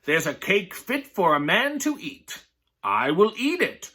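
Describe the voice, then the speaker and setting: A man's voice: high voice, huge pitch swings, unhurried, bright, forceful tone, slightly rough, exaggerated voice. A man voicing a frightened, complaining male giant in a storybook reading. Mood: fretful. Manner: anxious, complaining.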